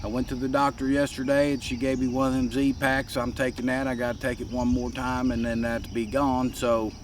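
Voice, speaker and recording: A man with a head cold, hoarse and congested, giving a folksy step-by-step workshop demonstration. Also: mid-range voice, flat delivery, normal speed, recorded from a distance, a little hiss or hum. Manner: folksy, plain-spoken, explains step by step. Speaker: gunsmith demonstrating a product.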